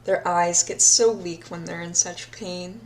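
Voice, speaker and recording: A woman's voice: fairly low pitch, fairly even intonation, unhurried, heavy background noise, lightly acted. A woman playing a deranged, obsessive yandere captor in an audio roleplay diary, sadistic yet childlike, speaking in a dreamy, intimate manner and lingering on words. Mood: fond, proud. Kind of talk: audio roleplay monologue, recorded diary confession.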